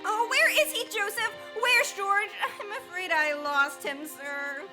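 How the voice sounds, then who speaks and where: A woman's voice: very high-pitched, a little slow, over loud music. A woman playing an earnest, easily flustered female guardian angel in a radio play. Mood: flustered, eager. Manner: earnest, excitable, hesitant when flustered.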